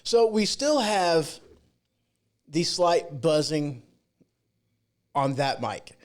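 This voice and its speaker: Male livestream host, a bit high-pitched, loose and informal, chatting off the cuff. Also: sing-song, extremely slow. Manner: rambling, informal. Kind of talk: casual chat. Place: home podcast studio.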